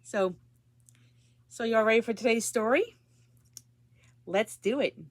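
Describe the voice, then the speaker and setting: A woman chats casually to viewers as a story time host. Her voice sits a bit high, and her halting, informal talk is recorded dry, with little room sound. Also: dry recording.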